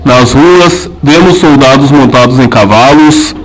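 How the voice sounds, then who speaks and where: A man narrating an amateur historical radio drama, telling it in a measured and solemn way. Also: mid-range voice, a little hiss or hum, low-quality recording.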